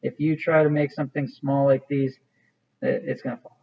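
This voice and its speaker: A man's voice: mid-range voice, little pitch movement, normal speed, vocal fry, muffled recording. A man teaching an art class, walking students through a clay project in a casual, rambling way with many fillers. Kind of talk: classroom demonstration.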